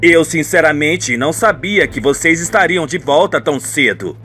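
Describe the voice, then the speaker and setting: A man's voice: fairly high pitch, animated, normal speed, sharp tone, soft background music, voice pushed down. A man playing a brazen middle-aged cheating husband in a radio soap opera. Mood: brazen, defensive.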